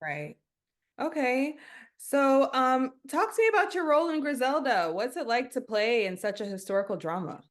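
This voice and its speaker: A woman interviewing an actor in a casual, informal way, with quick affirmations. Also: sits high, very animated.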